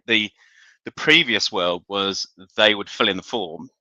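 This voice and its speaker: Bright, low-pitched man, dry sound. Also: measured pace, no echo. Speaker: industry expert panelist. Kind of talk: conversational business webinar discussion. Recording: dull, band-limited online call audio.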